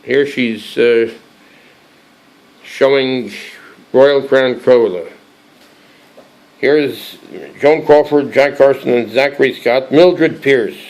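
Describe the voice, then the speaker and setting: A hoarse man with a cold hosting a casual show-and-tell, reading aloud from a book with many halting restarts and fillers. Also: medium pitch, a little mellow, poor audio. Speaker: collectibles channel host.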